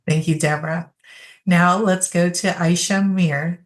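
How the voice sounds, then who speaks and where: A woman's voice: fairly low pitch, fairly even intonation, a little slow. A woman moderating a virtual panel, graciously thanking and introducing each speaker.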